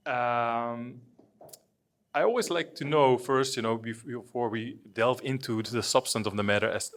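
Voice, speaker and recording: A man's voice: medium pitch, fairly bright tone. A man moderating a conference panel, chatty and hesitant, often repeating his words as he introduces guests. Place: conference room. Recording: echoey hall with faint ambience.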